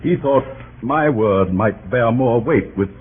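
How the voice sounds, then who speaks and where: A man's voice: medium pitch, lively intonation, slow pace. An actor playing a cautious, respectfully dissenting cabinet statesman, speaking formally and with measure in an old-time radio drama. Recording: noisy, narrow-band vintage radio broadcast.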